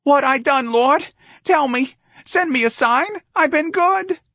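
A man's voice: very high voice, lively intonation, takes it slowly, sharp tone, no room sound, clean studio sound, voice pushed up, exaggerated voice. A man voicing a long-suffering, plaintive, folksy older mother in an audiobook. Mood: anguished pleading.

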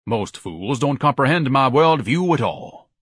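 Guy doing a pompous stern know-it-all man for an audiobook, big swoops, husky, super dry sound. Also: medium pitch, animated, measured pace, a bit bright, no room sound, pitched above the performer's own voice. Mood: solemn, lecturing. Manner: orator-like, stern, solemn, florid diction. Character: pompous, bombastic, scholarly man with grand political schemes. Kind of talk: audiobook character acting.

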